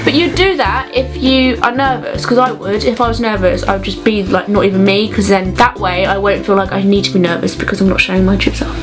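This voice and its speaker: Young woman, informal vlogger chat, rambling advice with music clearly underneath. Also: medium pitch, fairly even intonation, brisk, hardly any pauses. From England. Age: young adult. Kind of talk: casual chatty advice vlog. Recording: music playing behind the voice.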